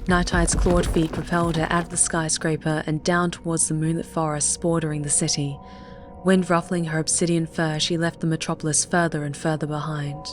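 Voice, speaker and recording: A woman reads scripted fiction as an audiobook narrator. Her voice sits low, and her delivery is measured and dramatic. Faint music plays behind her.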